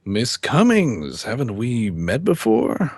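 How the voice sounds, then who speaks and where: An actor playing a stern, middle-aged judge and weary husband, speaking formally and with measured delivery in an old-time radio drama. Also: male voice, medium pitch, wildly swooping pitch, breathy edge, no room sound, heavily acted. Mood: composed. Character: stern male judge, weary husband confiding his downfall. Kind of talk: old-time radio drama acting and first-person narration.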